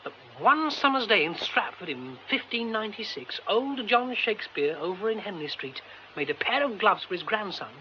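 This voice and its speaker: An actor playing an excitable, romantic young British theatre man in a radio drama, exclamatory and effusive, often breaking off mid-thought. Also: high-pitched, normal speed. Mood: dreamy, awed. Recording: dull, faintly noisy radio recording.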